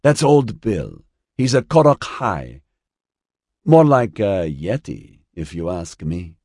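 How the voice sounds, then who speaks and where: A man voicing a gruff, cheery, weathered woman in her sixties with a northern Mediterranean accent in an audiobook. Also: fairly low pitch, very animated, slow pace, darkish tone, no room sound, clean studio sound, full character voice. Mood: breezy, reassuring.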